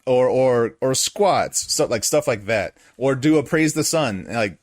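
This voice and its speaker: A man's voice: medium pitch, expressive, measured pace, dry recording, studio quality. A man co-hosting a podcast, a streamer who stammers and teases his friends. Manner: stammering, teasing. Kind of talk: casual chat on a live stream.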